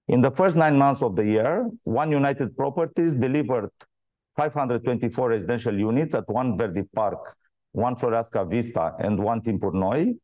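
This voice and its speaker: A man in the role of a company executive reads a prepared financial presentation in a measured, formal manner. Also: medium pitch, dark tone, no echo. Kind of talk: scripted earnings call presentation.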